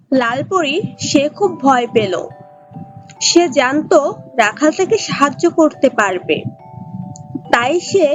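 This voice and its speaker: A woman's voice: high-pitched, dull audio. A woman narrating a scripted fairy tale as the storyteller of a cartoon.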